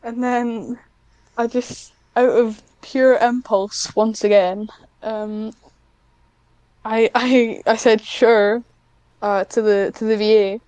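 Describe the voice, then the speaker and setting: A woman with a fairly high, mellow voice talks casually as a podcast guest. She takes her time, hesitating and restarting in a slightly awkward way. The sound is close and dry. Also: fairly even intonation, clear, resonant tone, dry recording. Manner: hesitant, halting, many self-interruptions; self-conscious, awkward. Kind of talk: casual interview chat.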